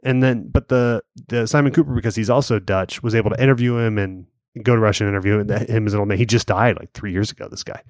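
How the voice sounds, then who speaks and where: A man's voice: fairly low pitch, fairly even intonation, fast, few pauses, darkish tone, no echo, clean studio sound. A man co-hosting a podcast, chatting casually with plenty of fillers and restarts. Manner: rambling, lots of fillers and restarts. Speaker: podcast co-host. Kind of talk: casual chat.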